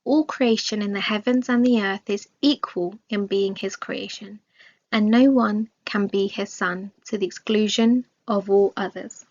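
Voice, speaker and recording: A woman reading a scripted religious study aloud in a measured, formal manner. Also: medium pitch, steady tone, a little mellow. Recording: dull, lo-fi recording.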